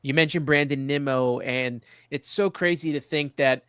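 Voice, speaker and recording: A man's voice: mid-range voice, moderate pace, fairly bright tone, clean tone, dry recording, clean studio sound. A man hosting a sports show, chatting through baseball analysis in a conversational way.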